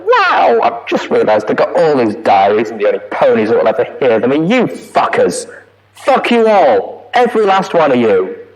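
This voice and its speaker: A man's voice: high voice, vocal fry, voice pushed up, full character voice, rough recording. A man voicing an unhinged young mare who speaks in a bitter, manic sing-song and swears.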